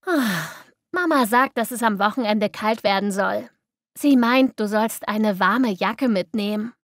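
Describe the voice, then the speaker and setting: A woman's voice: mid-range voice, unhurried, no echo, clean studio sound, close to an everyday voice. A woman playing an obliging, slightly exasperated daughter passing on messages in an acted language-learning dialogue. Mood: mildly exasperated.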